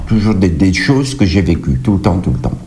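A deep, dark-toned middle-aged man from Kabylie, talking as a songwriter guest in an interview. He tells his stories at an easy pace, stumbling and repeating words before he finds his phrasing. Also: animated, brisk, slight background noise. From Kabylie, Algeria. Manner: hesitant, repeats words and restarts. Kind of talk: relaxed interview answers, anecdotal.

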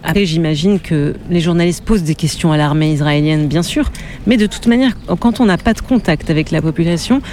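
Measured, hesitant woman journalist. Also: deep voice, mellow, slight background noise. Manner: measured, thoughtful, frequent hesitations. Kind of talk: interview answers.

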